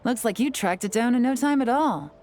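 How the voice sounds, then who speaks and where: A woman playing a cool, composed female secret agent who speaks in a calm, measured, quietly confident way, in a video game cutscene. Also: a little high, soft background music, close to an everyday voice.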